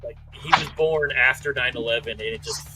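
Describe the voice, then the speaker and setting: A man in his late thirties chatting casually and profanely as a podcast co-host, telling stories in an animated way. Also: medium pitch, brisk, a crowd in the background, low-quality recording. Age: late 30s.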